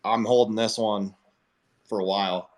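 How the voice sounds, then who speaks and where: A man's voice: on the low side, fairly even intonation, moderate pace. A man hosting a live group call, chatting informally and with enthusiasm. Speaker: host of live investor audio calls. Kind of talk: casual chat in a live group audio call.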